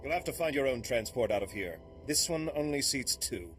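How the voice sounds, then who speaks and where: An actor playing a proud, righteous resistance fighter in a video game, declamatory and accusing. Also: male voice, mid-range voice, lively intonation, brisk, fairly bright tone, faint music behind, lightly acted. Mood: indignant.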